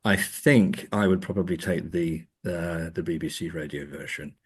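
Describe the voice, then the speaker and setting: A man's voice: low voice, moderate pace, breathy edge. A man speaking as an academic interview guest, thoughtful and hesitant, often repeating words as he forms his ideas. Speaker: academic guest, professor and author. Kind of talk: conversational interview answers.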